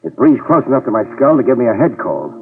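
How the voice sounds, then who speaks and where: An American actor playing a wry, slangy, fearless New York private eye in 1950s radio style. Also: male voice, medium pitch, very animated, quick pace, breathy edge. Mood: cocky, dry. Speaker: lead actor in a radio detective series. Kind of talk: 1950s radio acting, hard-boiled narration. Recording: tinny, band-limited 1950s radio recording.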